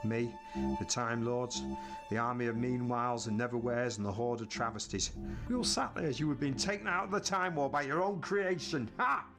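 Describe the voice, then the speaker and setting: A man acts out a battle-worn time traveller in an audio drama. His mid-range voice is wry, grim and dramatic, carrying bitterness. Loud music plays beneath him. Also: mid-range voice, normal speed, lightly acted. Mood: sardonic. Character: world-weary, bitter male warrior time traveller. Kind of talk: science fiction audio drama acting.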